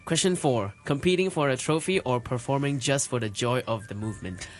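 A man co-hosting a casual podcast, with a voice of ordinary pitch. He reads quiz prompts steadily and then digs in with curious follow-up questions. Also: fairly quick, soft background music. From Hong Kong. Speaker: podcast co-host.

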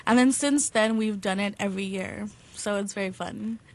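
A woman's voice: mid-range voice, normal speed, a little mellow. A young woman from Hong Kong, a university student, answering interview questions in a casual, chatty way with lots of "like". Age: young adult. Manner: chatty, frequent "like" fillers, laughs easily.

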